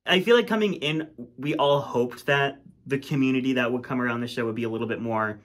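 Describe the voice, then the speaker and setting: Conversational reunion host, mid-pitched. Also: fairly quick. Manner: conversational, leading questions. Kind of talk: hosting a reunion panel.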